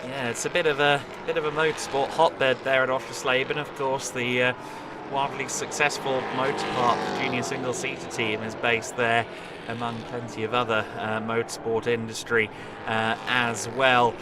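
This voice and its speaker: A man giving calm, fluent live play-by-play commentary on a stock car race. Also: mid-range voice, moderate pace. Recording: loud race car noise behind. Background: racing engines.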